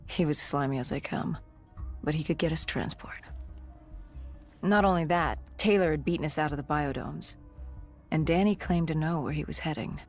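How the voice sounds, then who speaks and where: An actor playing an augmented soldier in a video game, haunted and resolute, recounting past missions in grave, measured storytelling. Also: mid-range voice, natural voice. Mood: subdued, resolute. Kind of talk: dramatic game cutscene narration. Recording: music under the voice. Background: music.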